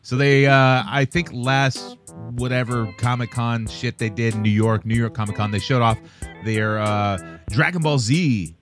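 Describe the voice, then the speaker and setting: A man's voice: medium pitch, steady tone, a little slow, faint music behind. A laid-back man chatting casually about toy releases, laughing often and swearing easily.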